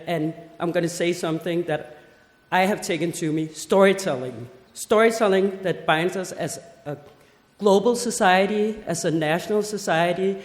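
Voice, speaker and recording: A woman in her forties from Denmark giving a conference talk, lively, with joking asides and rhetorical questions. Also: on the low side. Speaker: festival head giving a seminar talk. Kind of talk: conference presentation. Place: seminar hall. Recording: echoey hall with long reverb.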